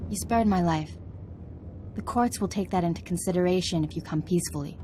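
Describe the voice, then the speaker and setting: A woman's voice: on the low side, a little fast, background noise, lightly acted. A woman playing a solemn, dutiful knight in a video game, with a formal, restrained manner. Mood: stern. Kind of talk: video game cutscene acting. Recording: clear music behind the voice.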